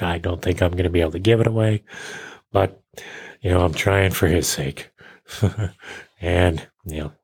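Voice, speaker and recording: A man of fifty hosting a casual, rambling solo show in a self-deprecating, joking way, with a husky voice. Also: low-pitched, darkish tone. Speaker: podcast host.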